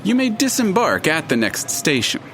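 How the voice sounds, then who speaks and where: Pompous omnipresent narrator spirit for audio drama: fussy, precise, highish male voice with wildly animated pitch. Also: moderate pace, slight background noise. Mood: coy, pedantic. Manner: pompous, precise, faintly hesitant, florid wording. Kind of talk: full-cast audio drama acting.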